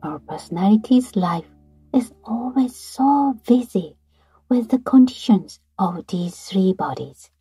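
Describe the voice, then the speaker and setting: A woman leads a guided breathing meditation at an unhurried pace, her voice very breathy and often sinking into a whisper. Her tone is mellow and her pitch rises and falls freely. Faint guitar music plays underneath. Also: expressive. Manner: slow, soft, breathy delivery with whispered phrases. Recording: soft guitar music behind.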